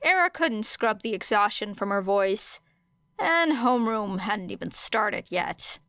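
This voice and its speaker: A woman's voice: mid-range voice, sing-song, unhurried, a bit bright, smooth, no echo, studio quality. A woman reading scripted fiction as an audiobook narrator.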